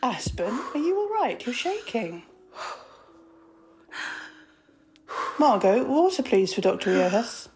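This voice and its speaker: A woman's voice: on the high side, very animated, normal speed, noisy background. Composed, wry woman doctor, acted by a woman. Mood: concerned, soothing. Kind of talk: audio drama acting.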